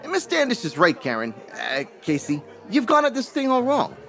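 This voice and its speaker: A man's voice: very high-pitched, huge pitch swings, normal speed, a bit bright, faint music behind, heavily acted. An actor playing an earnest barman sidekick in a radio crime comedy, folksy and deferential, full of old slang. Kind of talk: old-time radio character acting.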